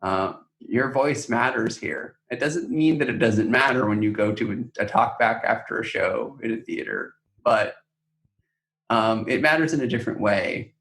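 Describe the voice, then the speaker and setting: A man co-hosting a podcast, speaking conversationally in a thoughtful, measured manner. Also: mid-range voice, animated, creaky, a bit bright, rough recording. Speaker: podcast co-host. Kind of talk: conversational podcast talk about a theater project.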